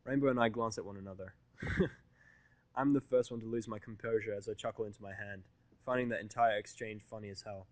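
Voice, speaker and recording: A man's voice: lowish voice, steady tone, fairly quick, dry recording. A man reading scripted first-person fiction in a wry, informal way. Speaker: audiobook-style fan fiction narrator.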